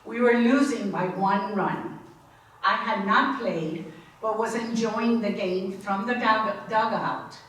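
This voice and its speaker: A woman reading a memoir aloud at a book signing, unhurried, stopping now and then to correct her own slips. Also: mid-range voice, fairly bright tone. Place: book signing event room. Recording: very echoey room, from far off, faint noise.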